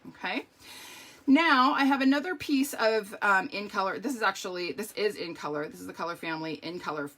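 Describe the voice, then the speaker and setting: A middle-aged woman with a strained, hoarse voice, chatting through a live card-making tutorial with lots of ums and okays. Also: mid-range voice, brisk, fairly bright tone.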